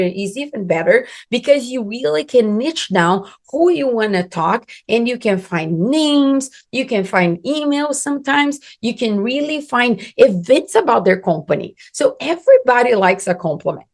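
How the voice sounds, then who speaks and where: A woman's voice: medium pitch, darkish tone. Brazilian-accented woman coaching on sales. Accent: Brazilian Portuguese. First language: Portuguese. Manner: earnest, emphatic, non-native grammar. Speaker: business coach. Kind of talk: instructional talk to camera.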